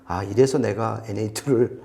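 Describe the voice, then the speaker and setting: A man's voice: on the low side, steady tone, moderate pace, creaky, dark tone. A man chatting through a product review in an enthusiastic, emphatic way. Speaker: audio gear reviewer on a shop's video show.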